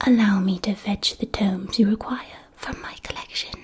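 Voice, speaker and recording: A woman's voice: medium pitch, steady tone, normal speed, lightly acted. A woman playing a courteous, doting shopkeeper of a witch school in an ASMR roleplay, speaking in a genteel, old-fashioned way. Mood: courteous, encouraging.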